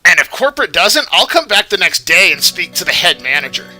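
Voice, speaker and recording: A man's voice: high-pitched, sing-song, brisk, pushed and bright, faint music behind. A man hosting a commentary vlog who rambles and moralizes in an indignant tone. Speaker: vlogger host.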